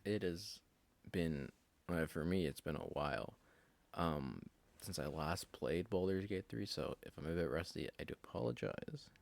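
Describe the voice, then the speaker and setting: A man hosting a casual game play-through, talking in a loose, rambling way with a slightly raspy voice. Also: low voice, animated, measured pace. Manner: loose and rambling, with many fillers. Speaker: host.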